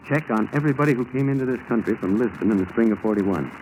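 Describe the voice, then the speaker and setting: An American man playing a determined, methodical FBI agent in 1940s radio drama style, with a brisk, clipped, businesslike manner. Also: on the low side, a little mellow, lightly acted. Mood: intent, determined. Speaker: actor in a radio crime drama. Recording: noisy 1940s radio broadcast recording.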